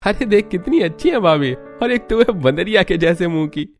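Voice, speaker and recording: A man voicing a cheeky, flattering young brother-in-law in a cartoon story, playful and teasing. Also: sits high, normal speed, soft background music, pitched above the performer's own voice. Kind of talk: cartoon story character acting.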